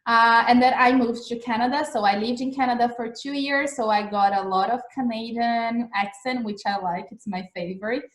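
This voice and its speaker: A woman's voice: on the high side, steady tone, moderate pace, hardly any pauses, reverberant. A young woman with a Brazilian accent and a mixed accent from years abroad, giving a chatty, encouraging English lesson, checking in often with her listeners. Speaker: English teacher. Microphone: video call recording.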